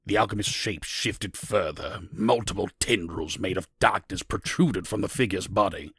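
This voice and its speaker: A man with a low, creaky voice narrates a scripted fantasy tale. His sound is crisp and close, with almost no room on it. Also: normal speed, a bit bright, no echo.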